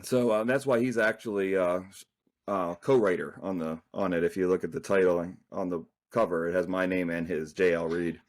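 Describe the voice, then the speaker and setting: A man's voice: sits low, fairly even intonation, fairly quick. A man talking as a guest author in a casual interview, rambling loosely with many fillers.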